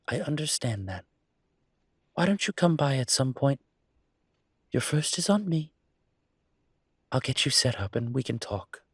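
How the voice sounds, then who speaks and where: A man acts out a polite, eager coffee shop owner in an audio roleplay. He speaks in a formal, effusive way, unhurried and a little breathy. The sound is close and dry. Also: medium pitch, no room sound. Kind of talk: roleplay audio drama acting.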